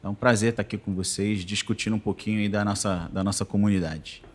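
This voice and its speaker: A man in his forties with a Rio de Janeiro accent, a podcast guest telling his story in a calm, conversational way. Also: fairly low pitch, fast talker. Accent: Rio de Janeiro Portuguese. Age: 40s. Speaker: guest, business association president.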